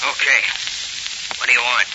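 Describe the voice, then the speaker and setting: An actor playing a bossy racketeer thug, barking tough slang in a scratchy, muffled 1940s radio crime drama. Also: male voice, mid-range voice, steady tone, moderate pace, close to an everyday voice. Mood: menacing. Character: brusque, bossy racketeer thug named Froggy. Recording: scratchy, muffled 1940 radio broadcast recording.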